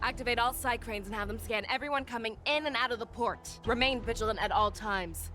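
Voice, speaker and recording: A woman's voice: fairly high pitch, a little fast, music in the background, lightly acted. Woman playing a firm, commanding female general. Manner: firm, authoritative. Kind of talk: anime video game acting.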